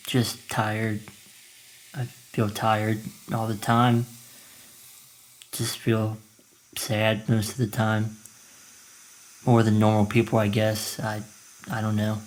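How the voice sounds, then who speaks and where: Withdrawn teen son for podcast drama, played by a man: tired, nervous, slow delivery, monotone. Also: lowish voice, low-quality recording. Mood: weary, sad. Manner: hesitant, flat, terse. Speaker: actor in a scripted podcast drama. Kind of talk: naturalistic audio drama acting.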